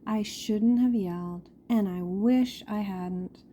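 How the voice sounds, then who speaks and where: Woman reading as a slow-spoken, loving, exasperated storybook mother. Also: medium pitch, dark tone, slight background noise, lightly acted. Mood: tender apology. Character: loving, exasperated mother of a pesky small child.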